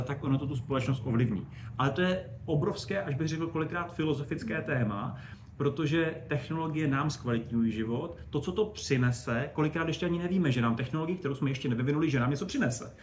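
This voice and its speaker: Guy, guest space expert, average pitch, rambly keen explainer, some background noise. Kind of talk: conversational interview answers.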